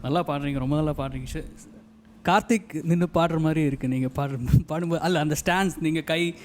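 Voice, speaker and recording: A man's voice: a little high, steady tone, fairly quick, a little hiss or hum. A man giving conversational audition feedback as a judge, repeating his phrases. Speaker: singing contest judge.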